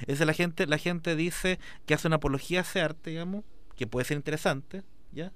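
A man's voice: fairly high pitch, normal speed, fairly bright tone, clean tone. A man, an artist guest in an interview, talking passionately and rambling with many fillers. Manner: rambling, fills with "digamos", passionate. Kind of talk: conversational interview.

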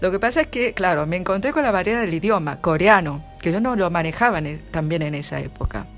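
Lower-voiced woman with an Argentine accent. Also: lively intonation, quick pace, soft background music. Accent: Argentine Spanish. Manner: chatty, many restarts, laughs often. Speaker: literary translator, guest. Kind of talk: casual interview answers.

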